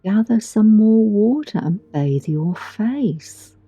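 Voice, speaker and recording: A woman guiding a meditation in a soothing, gentle, deliberate way with long pauses, recorded with a muffled sound. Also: mid-range voice, animated, husky, no echo. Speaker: meditation guide. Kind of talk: guided meditation narration. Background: background music.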